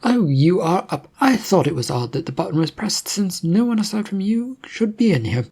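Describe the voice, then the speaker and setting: A storyteller voicing a brisk, attentive female hospital nurse in a story, matter-of-fact and reassuring. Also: medium pitch, sing-song, gravelly, a little mellow, husky, voice pushed up, full character voice.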